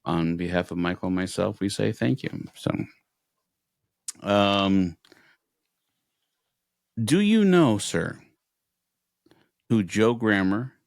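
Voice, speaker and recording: A man with a slightly low voice hosts a casual podcast. He moves between loose chat and playfully formal announcements. The recording is very dry and close. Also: animated, slow, gravelly, pauses often, no echo. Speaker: podcast host.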